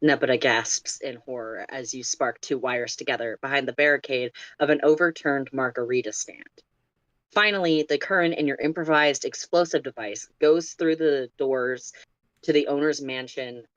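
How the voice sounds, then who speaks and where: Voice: mid-range voice, moderate pace, fairly bright tone, no room sound, dull audio. A narrator reads fiction aloud with expression. The voice is mid-range and recorded very dry, with little room around it. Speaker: story reader.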